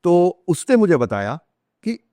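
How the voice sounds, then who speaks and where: A man's voice: sits high, very animated, unhurried, warm tone, no room sound. A man with an Indian accent tells stories in an interview, switching between Hindi and English with emphatic repetition. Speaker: author, podcast guest. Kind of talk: conversational storytelling in an interview.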